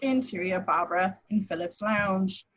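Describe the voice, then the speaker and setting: A woman's voice: mid-range voice, moderate pace. A woman reading out scripted action descriptions as narrator at an amateur table read.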